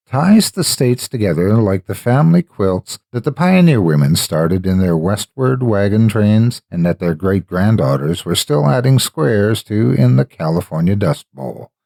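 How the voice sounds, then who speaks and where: Male horror narrator, low register, dry studio-tight sound, emphatic and theatrical storytelling. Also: creaky, mellow, dry recording. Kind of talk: scripted dramatic narration.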